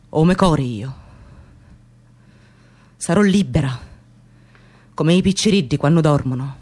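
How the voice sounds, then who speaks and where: A woman's voice: low voice, a little mellow, heavily acted. An actress playing a lone, anguished working woman with a Sicilian accent, in an incantatory poetic radio monologue. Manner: incantatory, repeating short phrases.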